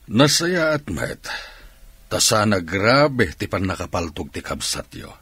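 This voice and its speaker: A man playing a composed, inquisitive boss in an Ilocano radio drama, with a husky voice. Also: on the low side, sing-song, a little slow, a bit bright, no echo, exaggerated voice. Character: probing, composed male boss asking after staff.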